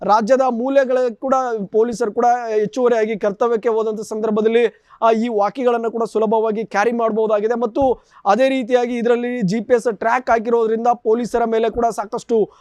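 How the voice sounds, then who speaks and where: A man giving an unscripted TV news report in a halting, repetitive way with formal phrasing. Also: very high-pitched, little pitch movement, a little mellow, dry recording.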